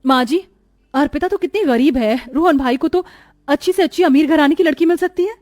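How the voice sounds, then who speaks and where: A woman's voice: high-pitched, brisk, darkish tone, no echo, clean studio sound, close to an everyday voice. A woman voicing a jealous, suspicious young wife in a cartoon family melodrama, speaking in an emphatic, accusing way. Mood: alarmed, scheming.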